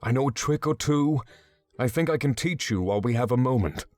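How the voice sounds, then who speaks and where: An actor playing a stern, exacting swordsman mentor in a fantasy visual novel game, giving measured, commanding instruction. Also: medium pitch, animated, a little mellow, no echo, close to an everyday voice. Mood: measured, guiding. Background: background music.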